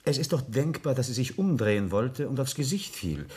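An actor playing an earnest, troubled son in a radio play, reasoning and protesting. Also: male voice, medium pitch, big pitch swings, normal speed, warm tone, lightly acted.

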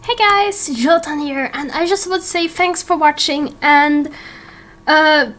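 A young woman in her late teens with a very high voice chats casually to her viewers. She rambles in a scattered, giggly way and often interrupts herself mid-sentence. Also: takes it slowly, a bit bright. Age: late teens, young adult. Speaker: YouTube roleplay series creator and host.

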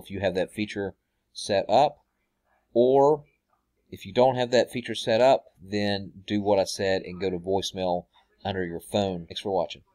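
A middle-aged man with a fairly low voice walks through a tech tutorial in a casual way. He is recorded indoors at home, and the sound is fairly dry, with little room echo. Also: a little mellow. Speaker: tech help video host.

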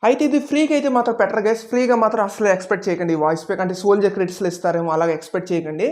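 Casting: female gaming YouTuber, Telugu accent, extremely rapid delivery, mid-range pitch, casual update style. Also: darkish tone.

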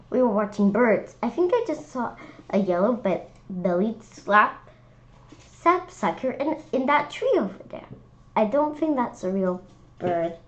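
A woman reading a children's book aloud slowly, stumbling and restarting, with lively voices for the characters. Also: medium pitch. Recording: slightly dull lo-fi sound.

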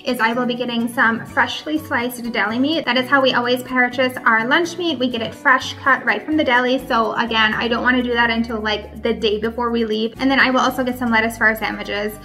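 A woman's voice: on the high side, steady tone, fairly quick, hardly any pauses, sharp tone, soft background music. A woman in her thirties from Minnesota, chatting to the camera at home as she holds up items one by one. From Minnesota, USA. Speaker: vlogger. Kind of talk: casual product show-and-tell. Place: bright home room indoors.

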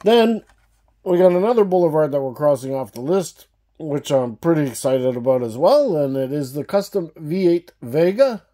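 A man's voice: fairly high pitch, animated, moderate pace, warm tone. A man hosting a casual unboxing vlog, chatty and enthusiastic, with a slight cough, calling his viewers guys. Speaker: diecast collector channel host. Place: indoor room with shelves of toy cars.

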